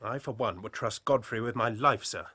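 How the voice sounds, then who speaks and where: An actor playing a loyal, earnest young English team captain in a period radio drama, well-spoken and hearty. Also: male voice, medium pitch, moderate pace, pushed and bright, husky. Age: young adult.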